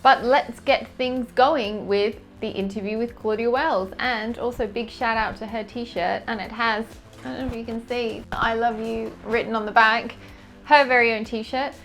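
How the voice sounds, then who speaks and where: A woman hosts the show and introduces her guest in an upbeat, enthusiastic way. Her pitch sits slightly high. Quiet music plays behind her. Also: steady tone, normal speed. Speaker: host.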